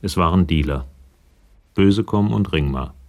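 A man's voice: deep voice, moderate pace. An actor serving as the sober male narrator of a radio crime drama, reading scripted narration.

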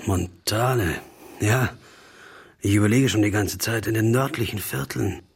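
A man playing a curt, husky cop. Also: fairly low pitch, measured pace, full character voice. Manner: curt, questioning. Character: curt male police officer at a crime scene. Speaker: police officer. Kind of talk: radio drama acting.

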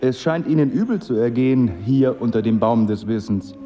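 An actor playing a courteous, inquisitive wanderer with a formal manner in a literary radio drama. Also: male voice, medium pitch, normal speed, highs cut off, background noise, lightly acted. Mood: curious, courteous. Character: courteous, curious, pondering male wanderer.

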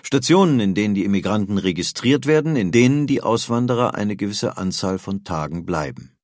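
Lowish male voice reading history narration, dry studio, no room sound. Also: lively intonation, a little fast, darkish tone, no echo.

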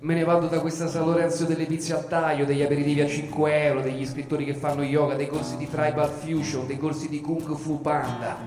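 A man with a high voice and a Roman accent delivers a satirical stage monologue for radio. His delivery is an emphatic, repeating litany. The space rings with long reverb, and music plays behind him. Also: flat delivery, quick pace, few pauses. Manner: emphatic, repetitive litany delivery swinging from murmur to shouting. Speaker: monologue performer and author.

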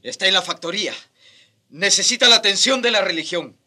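An actor playing a curt, commanding colonial governor in a radio drama. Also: male voice, high voice, expressive, brisk, pushed and bright, full character voice. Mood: urgent.

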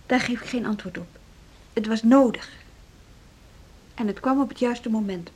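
An actress playing an embattled, determined woman at a music school in a radio drama, with a husky voice. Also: fairly high pitch, normal speed, darkish tone. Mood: defensive, weary. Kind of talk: Dutch radio drama acting.

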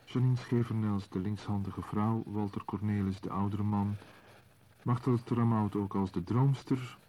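A man's voice: on the low side, fairly even intonation, moderate pace, mellow. A man reading scripted credits as a radio announcer.

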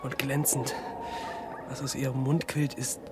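An actor playing a sceptical, uneasy gentleman on an eerie night watch in a radio drama. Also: mid-range voice, little pitch movement, unhurried, heavy background noise, natural voice. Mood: hushed, horrified. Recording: loud music behind the voice.

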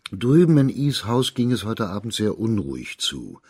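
A dignified high judge in a radio drama. His voice is on the low side and husky, recorded close and dry. He questions people formally and courteously, probing in measured phrases. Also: big pitch swings, warm tone, no room sound, full character voice. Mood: grave, probing. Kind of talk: German radio drama acting.

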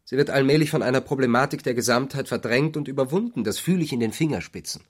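An actor playing a brooding, self-justifying husband and blocked artist in a radio drama, earnest and pontificating. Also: male voice, mid-range voice, fast, lightly acted. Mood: earnest self-justification.

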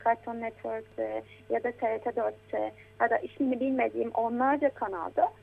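Adult woman, creaky voice, encouraging, explanatory, conversational guest, narrow phone-like recording. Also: a little high, steady tone, moderate pace. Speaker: guest commentator on children's media. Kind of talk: conversational interview with a child. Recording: tinny, phone-like narrow band.

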